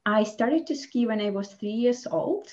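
A woman in her thirties from Russia with a Russian accent, telling her story as an interview guest in halting, casual speech with restarts. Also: on the high side, lively intonation, a little mellow, highs cut off. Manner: halting, frequent restarts and laughs. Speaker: guest, former Olympic skier. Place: home office, indoors.

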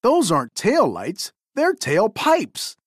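A woman's voice: medium pitch, wildly swooping pitch, slow, slightly rough, dry recording, studio quality, pitched above the performer's own voice, full character voice. A woman voicing a cocky, friendly young male race car in a playful storybook reading. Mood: confident.

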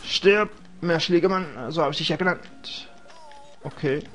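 A man's voice: a little high, unhurried, a bit bright, faint music behind. A young man with a cold, casually rambling and joking to himself while commentating a video game. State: has a cold. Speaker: let's play host.

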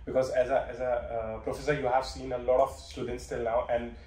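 A young man interviewing a professor, halting and repeating words as he forms his questions. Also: medium pitch, level tone, darkish tone. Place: office room, indoors. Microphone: far camera mic. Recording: roomy echo from far off.

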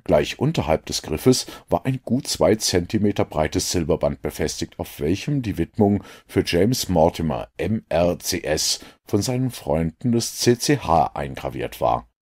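A man's voice: low-pitched, big pitch swings, normal speed, a little mellow. A man reading a story aloud as an audiobook narrator.

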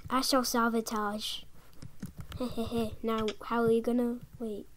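A woman's voice: a little high, little pitch movement, unhurried, slight background noise. A young woman hosting a casual gameplay video, chatty and teasing, often mumbling to herself. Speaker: gaming channel host.

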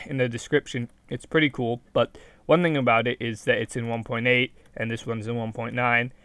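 A young man with a slightly hoarse voice from being sick, casually explaining a game build in a chatty, self-correcting way at his computer. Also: mid-range voice, a bit bright, dry recording. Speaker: Minecraft video creator. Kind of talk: casual screen-recorded tutorial.

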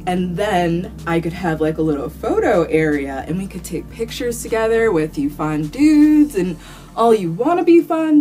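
A woman's voice: on the low side, dark tone. A young woman vlogger answering viewer questions to camera in a small room, chatty and rambling, cursing casually with lots of fillers. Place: small indoor room, plain wall.

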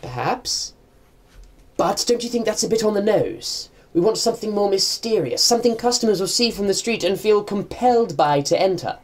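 A man as a prim, animated brother. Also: high-pitched, moderate pace. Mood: earnest. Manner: stammering, earnest. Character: prim, inept, fussy upper-class brother running antique shop. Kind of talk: comedy radio play acting.

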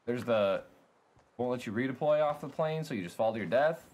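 A man's voice: mid-range voice, animated, brisk, darkish tone. A young man, a game streamer, chatting casually with viewers while he plays, thanking them and griping about lag, a little congested. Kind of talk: casual live-stream chat while playing. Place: home streaming room, indoors.

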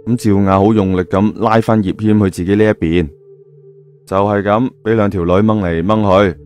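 A man's voice: fairly low pitch, steady tone, moderate pace, darkish tone, faint music behind. A man narrating an audio drama in a colloquial storytelling style.

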